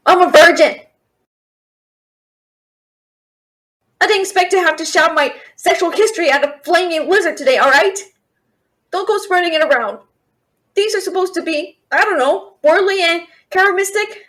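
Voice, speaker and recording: A woman playing a brash, fast-talking young female thief and failed alchemist in a fantasy audio roleplay, chattering with sarcastic, exasperated bluster. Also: very high voice, a bit bright. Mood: flustered, exasperated. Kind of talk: fantasy audio roleplay, addressing an unseen listener.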